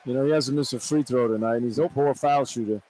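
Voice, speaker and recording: A man's voice: mid-range voice, quick pace, dark tone, low-quality recording. A man giving off-the-cuff radio color commentary on basketball in a folksy, colloquial and opinionated way. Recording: arena noise behind broadcast commentary. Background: arena crowd ambience.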